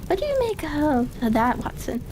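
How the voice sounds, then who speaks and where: A woman reading the part of a brisk, observant male Victorian detective in a storybook, asking deliberate, probing questions. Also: sits high, big pitch swings, noisy background. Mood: intent.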